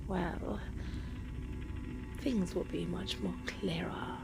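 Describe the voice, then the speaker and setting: A woman playing a seductive, sly demon who owns a bar, in a dark roleplay audio, teasing and savouring her words. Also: lowish voice, big pitch swings, drawn-out words, gravelly, exaggerated voice. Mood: sly, gloating. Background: music.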